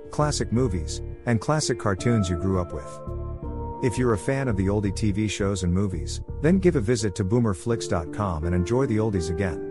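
A low male voice over prominent music. He reads a scripted podcast introduction as the host.

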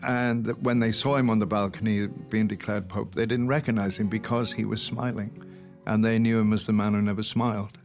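A man's voice: fairly low pitch, little pitch movement, brisk, darkish tone, soft background music. A man with a Welsh accent, an actor talking easily in an interview, telling stories with a wry humour. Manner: easygoing, wry storyteller. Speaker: actor guest. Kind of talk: relaxed interview chat.

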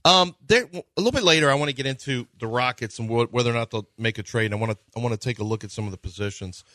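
A man's voice: medium pitch, racing, bright, forceful tone, no room sound. A man hosting a sports talk radio show, rambling and joking, often restarting his sentences.

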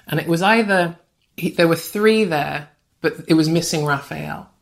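A woman from Australia, a writer and podcast guest, telling anecdotes in a chatty, animated way. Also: low voice, normal speed, creaky. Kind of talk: casual anecdotal storytelling.

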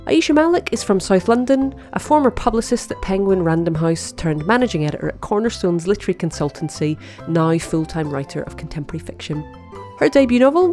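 A woman with a low voice presents a podcast from a script. She reads a prepared introduction, with music faintly behind her. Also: dark tone.

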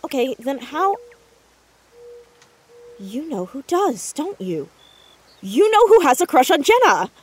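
A woman's voice: high voice, sing-song, slow pace, long pauses, exaggerated voice. A young woman playing an earnest, inquisitive teenage girl sleuth in a naturalistic audio drama, chatty and stammering when flustered. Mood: suspicious, insistent.

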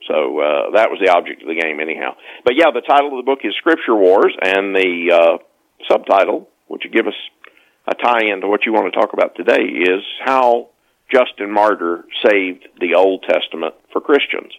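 A man speaking as an author in a casual interview, halting and often restarting his words. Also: sits low, lively intonation, gravelly, lo-fi sound. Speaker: author, podcast guest.

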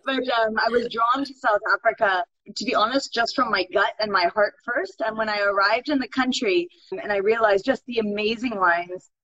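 A woman's voice: a little high, moderate pace, hardly any pauses, a bit bright. A woman from California with a Californian accent, a winemaker telling her story fluently in an interview. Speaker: guest winemaker. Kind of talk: interview answers. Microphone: video call. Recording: dull lo-fi video call audio.

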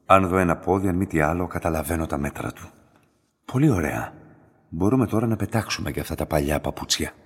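Creepy polite lab boss for radio drama, really deep husky voice, controlling and deliberate. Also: male voice, expressive, exaggerated voice. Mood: self-assured. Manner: formal, insistent, deliberate. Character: controlling, soft-spoken, eerily courteous male laboratory director. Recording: long echoing reverb on voice.

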